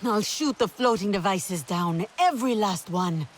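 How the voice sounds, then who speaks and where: A woman's voice: mid-range voice, animated, a little slow, a little hiss or hum, lightly acted. A woman playing a fierce, resolute Na'vi hunt leader in a video game, with formal, declarative speech. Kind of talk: dramatic video game acting.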